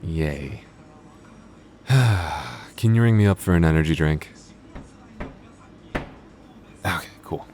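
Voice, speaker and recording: A man playing a teasing, laid-back young vampire coworker on a store night shift, talking casually and a little haltingly in an intimate ASMR roleplay. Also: a bass voice, expressive, darkish tone, slight background noise. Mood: casual, weary. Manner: hesitant, stammering, dryly teasing.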